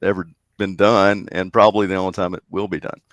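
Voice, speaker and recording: A man with a very low voice chats as a podcast guest, stopping and restarting his sentences often with plenty of ums. The sound is dry and close, with no room around it. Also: level tone, no room sound. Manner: halting, many fillers and restarts. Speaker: guest, contest organizer. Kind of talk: casual podcast chat.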